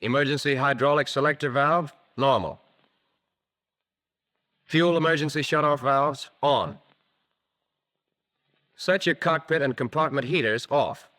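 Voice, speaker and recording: An American man narrating a scripted 1940s instructional film in a crisp, methodical manner, on a vintage film soundtrack. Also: medium pitch, measured pace, long pauses, sharp tone.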